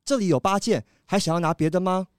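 A man's voice: high voice, fast, clean tone, dry recording, clean studio sound, natural voice. A man playing a polite, flustered young shop clerk in a children's audio drama, hesitant and placating. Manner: hesitant, placating, repeats himself.

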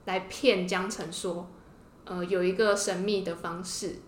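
A woman's voice: lowish voice, steady tone, unhurried, a little hiss or hum. A woman with a Taiwanese Mandarin accent hosting a podcast, telling and explaining a story in a casual way. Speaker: podcast host. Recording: roomy, echoing podcast room.

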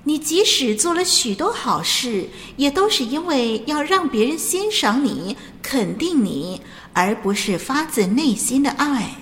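A woman playing a stern angel gatekeeper in a radio drama, delivering solemn, measured judgments on souls. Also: high voice, very animated, fairly bright tone, heavily acted. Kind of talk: scripted Mandarin radio drama acting. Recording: long cavernous reverb with background noise.

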